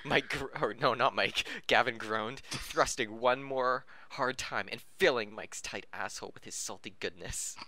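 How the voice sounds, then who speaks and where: A man's voice: mid-range voice, normal speed, few pauses, sharp tone, a little hiss or hum. A man reading a story aloud as an amateur podcast host, with joking asides and corrections of his own slips. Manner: breaks off to laugh, joke and correct misreadings.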